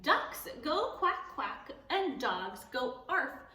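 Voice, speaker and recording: A woman's voice: high-pitched, sing-song, drawn-out words, pushed and bright, reverberant, full character voice. A woman voicing a stern mother dog firmly scolding her pup in a storybook reading. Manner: firm, patient scolding.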